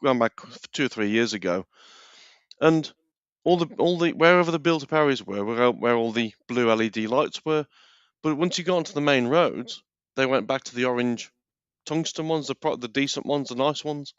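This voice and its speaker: Man, mid-pitched voice, casual talk-show guest, dry dull call audio. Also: moderate pace, smooth, no echo. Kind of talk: casual chat.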